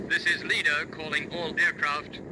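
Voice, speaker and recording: An actor playing a calm, commanding bomber captain in a radio war drama, clipped and concerned for his crew. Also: fairly high pitch, normal speed, background noise, lightly acted. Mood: commanding, steady. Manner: clipped, authoritative radio-call delivery. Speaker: aircraft captain. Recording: old radio recording, loud aircraft engine drone.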